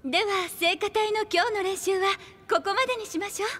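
A woman voicing a kind, motherly young nun who speaks in a soft, polite, ladylike way in an anime audio drama. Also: very high-pitched, fairly quick. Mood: calm. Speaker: choir-leading sister.

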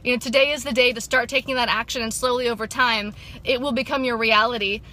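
A woman in her thirties talks to her viewers from a parked car, her voice bright and a touch high. She is chatty and encouraging, full of ums and restarts, speaking close to a phone held at arm's length. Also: fairly even intonation, fast. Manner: upbeat, encouraging, chatty with many fillers. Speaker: sobriety coach and vlogger. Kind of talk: casual motivational vlog. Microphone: phone camera at arm's length.

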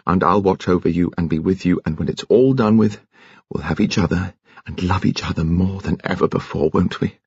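A man voicing a tender, earnest young lover in an audiobook, soft and reassuring. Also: low-pitched, expressive, moderate pace, creaky, breathy edge, no echo, muffled recording, full character voice.

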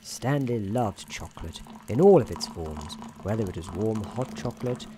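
A man playing a soft-spoken, whimsical, gently patronising narrator in an ASMR roleplay, speaking in a measured, soothing way. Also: on the low side, lively intonation, noisy background, natural voice. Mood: soothing, droll. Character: droll, soothing male storybook narrator in an office worker's head.

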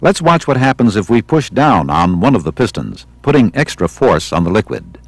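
Low-pitched American man narrating, lively intonation. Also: moderate pace, gravelly, no echo. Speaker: training film narrator. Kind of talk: scripted instructional narration. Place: studio voice-over.

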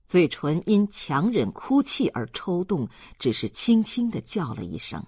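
A man's voice: high voice, big pitch swings, unhurried, dark tone, slightly rough, dry recording, clean studio sound. A man narrating a novel in a dramatic, scripted audiobook style.

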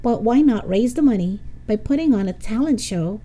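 A woman's voice: fairly high pitch, expressive, moderate pace, mellow. A woman voicing a sensible, earnest young schoolboy with a plain, mild manner in a storybook reading.

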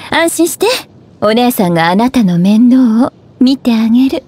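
A woman's voice: fairly high pitch, expressive, fast, mellow, husky. A woman voicing a playful, teasing older-sister witch in an anime game, with languid delivery. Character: playful older-sister type, former witch turned librarian.

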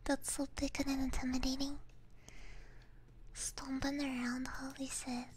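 A woman streaming ASMR, chatting casually to viewers in a soft, breathy whisper close to a binaural mic, repeating short phrases playfully. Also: sits high, little pitch movement, measured pace. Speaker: ASMR livestreamer. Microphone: close binaural ASMR microphone. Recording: close ASMR mic with clear background noise.

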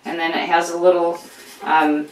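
An older woman with a lowish voice gives a friendly, rambling how-to demonstration in her home kitchen. She is picked up by a camera mic a few feet away, so her voice sounds distant and the hard surfaces add a roomy echo. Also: little pitch movement, moderate pace. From United States. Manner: chatty and encouraging, full of fillers and restarts. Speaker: homesteading channel host. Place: home kitchen with steel counters, indoors.

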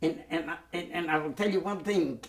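A man, giving oral history testimony in a halting way, with many repeated words and restarts. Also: on the high side, creaky. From Poland. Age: 90s. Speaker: Holocaust survivor giving testimony. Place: dark studio set, indoors.

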